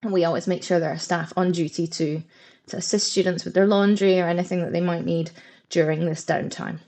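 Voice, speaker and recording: A woman's voice: lowish voice, fairly even intonation, a little fast, warm tone. A Scottish woman presenting a webinar for a study holiday company, friendly and informative as she walks through slides with the odd filler. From Scotland. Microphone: computer mic on a video call.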